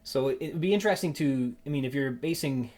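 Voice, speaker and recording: A man with a husky, slightly rough voice hosts a podcast interview. He talks in a conversational way, halting and thoughtful, working through long sentences and repeating words as he goes. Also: medium pitch, darkish tone. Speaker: podcast host.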